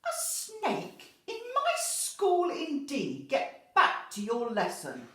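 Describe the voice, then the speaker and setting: A woman's voice: on the high side, sing-song, slow, reverberant. A woman voicing a fierce, bossy storybook headmistress who bellows and snaps imperiously. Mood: scornful.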